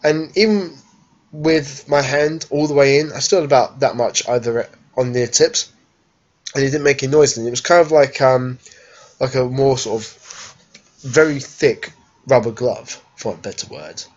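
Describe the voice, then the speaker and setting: Man, 30s, hoarse from a cold, casual rambling vlogger, muffled webcam audio. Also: medium pitch, moderate pace. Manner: rambling, chatty, many fillers. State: croaky with a cold. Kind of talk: casual vlog chat. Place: living room sofa at home, then busy indoor exhibition hall. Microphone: webcam at home, handheld phone selfie at the show.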